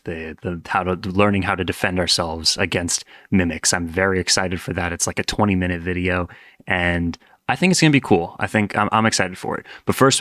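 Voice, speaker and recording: A man with a low-pitched voice gives casual reaction commentary. He is playful and joking, teasing his viewers in mock scolding. The sound is dry, with very little room in it. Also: fairly bright tone, dry recording. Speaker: host reacting to horror videos.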